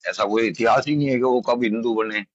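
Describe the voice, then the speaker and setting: A man's voice: mid-range voice, steady tone, brisk, no echo. A middle-aged man argues bluntly and insistently in an informal debate on a phone call. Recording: dull lo-fi phone call recording.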